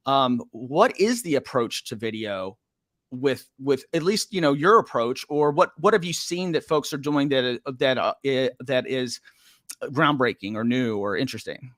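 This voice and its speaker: A man's voice: mid-range voice, a bit bright, dry recording. A male podcast host with a mid-range voice in a dry, close sound. He chats casually and passes along questions from listeners. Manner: chatty, reads out audience questions. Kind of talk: conversational interview hosting.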